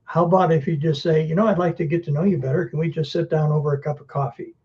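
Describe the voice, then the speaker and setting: Dark-toned man, slightly high pitch. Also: steady tone, fast, lo-fi sound. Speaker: discussion leader. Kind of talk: casual coaching talk.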